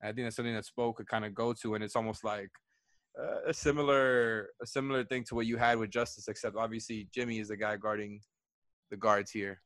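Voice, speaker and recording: Fast-talking man in casual basketball chat. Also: lowish voice, fairly even intonation, a bit bright, no echo. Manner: fast, thinking aloud, many restarts. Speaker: podcast co-host.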